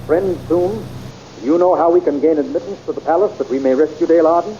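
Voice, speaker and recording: An actor playing an earnest young hero from an old radio serial, with a slightly raised voice and a warm, relaxed tone. He sounds determined and straightforward. The recording is muffled and lo-fi. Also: fairly high pitch, fast talker, slight background noise, lightly acted. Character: brave young male Earth hero. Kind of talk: 1930s radio serial acting.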